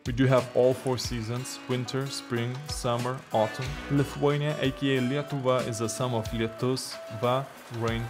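A man giving a deadpan, sarcastic voice-over for a comedy video. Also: medium pitch, normal speed, hardly any pauses. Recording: video voice-over with music behind.

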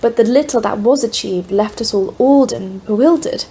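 A woman's voice: a little high, moderate pace, mellow, husky. A woman playing an earnest expedition leader, narrating events formally in an audio drama. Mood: awed. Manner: formal, literary phrasing. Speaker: voice actor in an audio play. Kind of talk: scripted first-person narration, audio drama.

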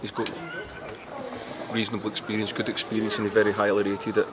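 A middle-aged man, a football manager giving a post-match interview outdoors, halting and repeating words as he thinks. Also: fairly low pitch, completely flat delivery. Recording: loud outdoor background noise.

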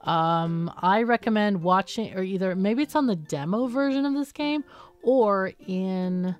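A woman's voice: medium pitch, unhurried, a little mellow, clear, resonant tone, lo-fi sound. A woman hosting a gaming video with casual let's-play commentary, explaining and thinking aloud as she plays.

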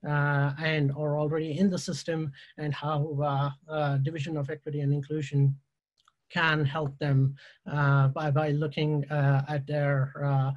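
A man talks through slides on a video call in a slightly high voice. He stops often on fillers and restarts his phrases as he goes. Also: flat delivery, dull audio. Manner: halting, many "uh" fillers and restarts. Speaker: university tech presenter. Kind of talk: conference slide presentation.

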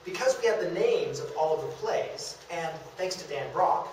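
A man's voice: a little high, lively intonation, moderate pace, darkish tone. A young man presenting a prepared, scripted public lecture at a podium in a meeting room. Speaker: lecturer presenting a local theatre history talk. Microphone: distant camera microphone. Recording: echoey room, distant camera, background hiss.

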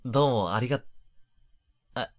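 A male voice actor playing a polite, flustered young man in a romantic voice drama, gentle and hesitant, with a soft breathy delivery. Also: mid-range voice, normal speed, close to an everyday voice. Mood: flustered, apologetic. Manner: hesitant, bashful, apologetic, gentle.

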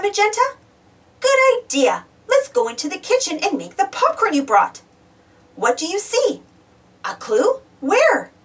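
A woman's voice: very high voice, a bit bright, recorded from a distance. A woman reading a children's storybook aloud, exclaiming and putting questions to young listeners. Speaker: storybook reader. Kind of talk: animated children's read-aloud.

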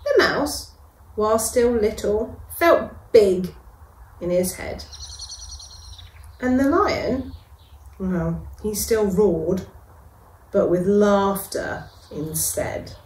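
A middle-aged woman, a reception class teacher, reading a picture book aloud to children with gentle, expressive delivery and dramatic pauses. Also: medium pitch, a little hiss or hum. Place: school classroom, indoors. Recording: echoey classroom.

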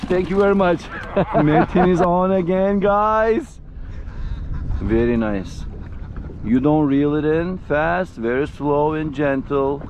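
A middle-aged man hosting a fishing vlog from a small boat at sea, cheering loudly over each fish he catches. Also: fairly high pitch, slow. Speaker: fishing vlogger host. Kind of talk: casual fishing vlog commentary. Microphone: action camera on the boat. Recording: outdoor wind with music bed.

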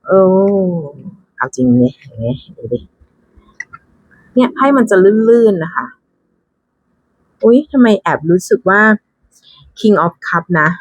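A woman talks casually through an unboxing. Her voice is fairly low and husky, and she takes her time, thinking aloud with little exclamations and English terms mixed in. The sound is dry. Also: dry recording, low-quality recording. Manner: mixes English card names into her talk. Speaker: tarot deck reviewer.

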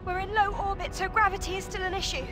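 Casting: devoted, anxious young tech operator for a game, very high-pitched and emotional, heard distantly. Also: female voice, quick pace, over music. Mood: tense, urgent. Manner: emotional, expressive. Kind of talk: English video game cutscene voice acting.

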